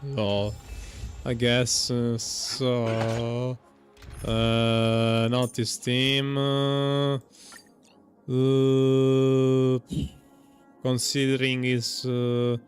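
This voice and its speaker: A man giving casual, hesitant commentary as he plays through a game, musing in a loose, halting way. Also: mid-range voice, flat delivery, soft background music. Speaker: gaming streamer.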